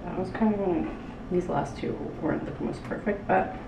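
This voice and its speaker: Low-voiced woman, distant in a noisy kitchen. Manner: loose, halting chat with frequent "like". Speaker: cooking show host. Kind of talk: casual cooking demonstration, talking while working. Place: small indoor kitchen with tiled floor. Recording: roomy, distant mic with loud room noise.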